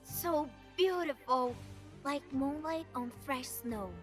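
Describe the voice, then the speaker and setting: A woman's voice: high voice, slow. A woman voicing a naive, jovial, childlike shapeshifter from a video game, playful, in simple broken phrases. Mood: wistful wonder. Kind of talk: video game character voice lines. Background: music.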